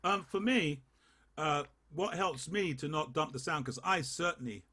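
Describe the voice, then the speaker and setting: A man leading a choir rehearsal, coaching the basses and singing short phrases to demonstrate. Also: sharp tone. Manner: unhurried, sings phrases to demonstrate. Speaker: choir leader.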